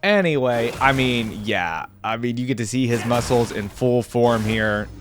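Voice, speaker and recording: A man's voice: medium pitch, a little slow, faint music behind. A man hosting a reaction video, giving casual, conversational game commentary and thinking aloud as he goes.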